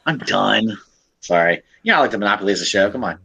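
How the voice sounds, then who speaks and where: Man, podcast co-host, husky, bright, very animated, teasing. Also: on the low side, fast talker. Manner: laughs often, teasing. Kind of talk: casual chat.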